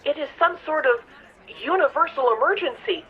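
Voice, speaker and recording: A woman's voice: sits high, normal speed. A woman voicing an anxious, urgent sentient spaceship through a communicator in a sci-fi audio drama. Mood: urgent, hesitant. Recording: muffled telephone-like call audio.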